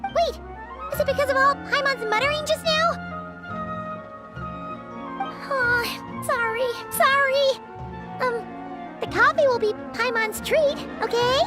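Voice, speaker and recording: A woman's voice: extremely high pitch, normal speed, music in the background. A woman voicing a tiny, bossy and dramatic fairy girl companion who talks about herself in the third person in a game cutscene. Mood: apologetic.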